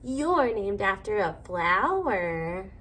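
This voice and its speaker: A woman's voice: medium pitch, sing-song, slow, fairly bright tone, heavily acted. A woman voicing a snooty, tactless schoolgirl bully in a children's story. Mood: smug.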